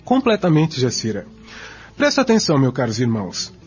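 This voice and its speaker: A man's voice: mid-range voice, huge pitch swings, a little fast, faint music behind. A man with a Brazilian accent playing an assured, didactic spiritist in a radio drama, formal and emphatic. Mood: assured, instructive. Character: assured, didactic male spiritist group member. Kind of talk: amateur radio drama acting. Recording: dull lo-fi.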